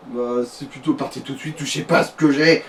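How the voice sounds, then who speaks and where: A man's voice: medium pitch, fairly even intonation, a little fast. Frenchman bantering from away from the mic. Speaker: let's play co-commentator. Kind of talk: casual gaming banter. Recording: distant mic, faint background game noise.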